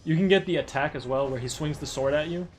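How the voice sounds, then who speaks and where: A man's voice: on the high side, quick pace, faint music behind. A man explaining a gameplay speedrun tutorial in a casual, rambling way, his voice tired. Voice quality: chatty, rambling, self-correcting. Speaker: speedrunner streamer.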